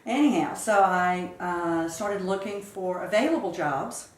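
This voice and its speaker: A woman in her fifties from South Carolina, recounting her life story in a measured, reflective way during an interview. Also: lowish voice, animated, reverberant. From Charleston, South Carolina. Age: 50s. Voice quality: measured, reflective, with occasional fillers. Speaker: interview guest.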